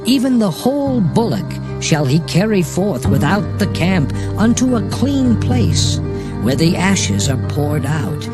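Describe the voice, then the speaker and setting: A man narrating an audiobook Bible in a formal, measured, solemn scripture-reading style. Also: high voice, sing-song, over music.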